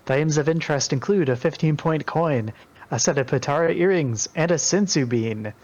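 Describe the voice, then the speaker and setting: A man's voice: a little high, brisk, a little mellow. A man in a group reading, moving between reading aloud and casual chat. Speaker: participant and narrator in a group reading. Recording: dull, lo-fi microphone sound.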